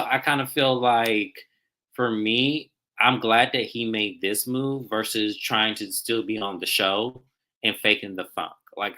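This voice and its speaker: A man's voice: fairly low pitch, bright, forceful tone, poor audio. A man co-hosting a casual recap chat, laid-back and conversational with slangy phrasing. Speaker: co-host.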